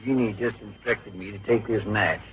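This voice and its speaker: Actor as a kindly, playful zookeeper. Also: male voice, on the low side, steady tone, normal speed, natural voice. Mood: playfully secretive. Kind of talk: 1950s radio drama acting. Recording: dull old radio broadcast recording.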